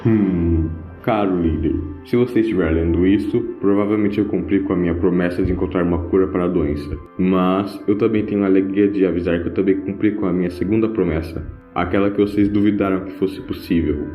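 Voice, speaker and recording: A man's voice: low-pitched, fairly even intonation, a little fast, few pauses, close to an everyday voice. A man playing a kindly old grandfather doctor with an old-fashioned, hemming manner in an audio drama. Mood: formal, reassuring. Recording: roomy, dull home recording with music.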